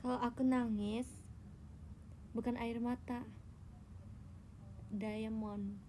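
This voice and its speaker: A woman's voice: on the high side, little pitch movement, slow pace, warm tone, a little hiss or hum. A young Indonesian woman chatting casually and teasingly with her viewers on a phone livestream. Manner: playful, teasing, tells tall tales. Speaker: idol livestreamer.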